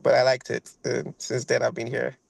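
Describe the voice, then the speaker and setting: A Nigerian man in his thirties talks over a video call, rambling with fillers and repeating himself as he tells his story. His voice is heavily gravelly, with a flat, even melody. The sound is dry and close. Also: mid-range voice, normal speed, no echo. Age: 30s. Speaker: guest violinist.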